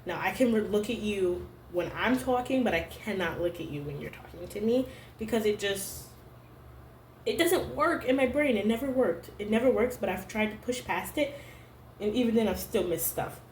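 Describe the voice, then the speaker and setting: A woman's voice: mid-range voice, animated, slight background noise. Hesitant young woman in an echoey room. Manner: hesitant, halting, heavy use of "like" fillers. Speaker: vlogger. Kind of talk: personal confessional vlog. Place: room at home. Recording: roomy home echo.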